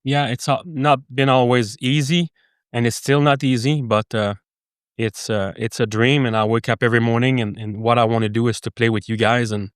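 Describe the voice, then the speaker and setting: A middle-aged man with a French Canadian accent speaks earnestly, stopping and restarting his sentences often. His delivery stays fairly flat and even, and his voice is smooth and clear. The sound is dry and close. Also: mid-range voice, dry recording. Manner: earnest, halting, frequent restarts. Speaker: podcast guest. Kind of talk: casual interview chat.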